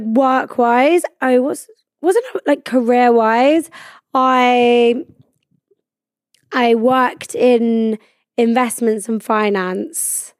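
A woman in her twenties, a little high-pitched, hosts a podcast from a studio. Her voice is croaky from a cold, and she chats about herself with loose, freely sworn stories. The sound is close and dry with no room echo. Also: darkish tone. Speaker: podcast host. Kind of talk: casual chat.

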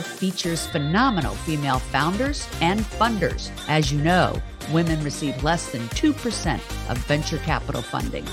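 A woman hosting an interview podcast, chatty and upbeat, telling anecdotes as she goes. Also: low voice. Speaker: podcast host. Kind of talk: casual interview chat.

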